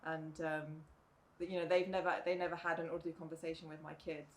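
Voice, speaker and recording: Reflective middle-aged woman, fast. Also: deep voice, little pitch movement. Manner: reflective, with frequent "um" and "you know". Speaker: guest, mother of the other guest. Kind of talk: casual podcast chat. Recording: faint background noise.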